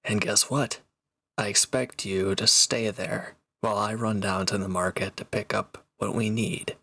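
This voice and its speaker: A man playing a proud, teasing reformed villain and swordsman in an intimate audio roleplay, talking cockily to one listener in a gravelly voice. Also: lowish voice, steady tone, a little slow, fairly bright tone, a little hiss or hum. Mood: smug, bossy.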